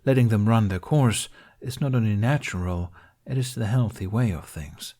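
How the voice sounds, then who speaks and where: Man voicing a low, wry mentor. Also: mellow, close to an everyday voice. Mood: earnest advice. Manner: dry, teasing, measured. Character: older male Jedi master, wry, kindly, bearded mentor. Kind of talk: audiobook character dialogue.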